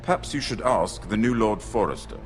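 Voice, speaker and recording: A man's voice: on the low side, big pitch swings, normal speed, soft background music. An actor playing a shrewd, worldly nobleman in a game drama, droll and knowing, with a drawling delivery. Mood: wry, pointed.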